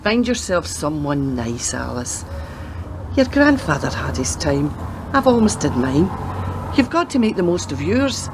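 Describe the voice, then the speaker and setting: A woman's voice: deep voice, very animated, measured pace, heavily acted. Chatty, doting old grandmother played by an actress, noisy recording. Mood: earnest, advising. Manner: fussing, talkative, teasing. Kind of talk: radio play acting.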